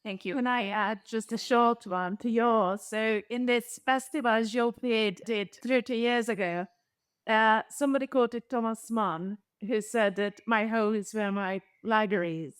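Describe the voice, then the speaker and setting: Higher-voiced woman, clean and smooth. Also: unhurried, no room sound. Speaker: panel participant. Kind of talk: casual remark in a panel discussion.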